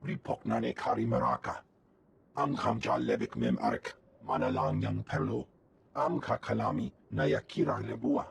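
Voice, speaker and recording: A man voicing a gravelly alien trader in a video game, speaking an invented tongue. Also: fairly low pitch, expressive, moderate pace, a little hiss or hum. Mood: matter-of-fact. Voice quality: gravelly, creaky. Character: guttural male alien trader.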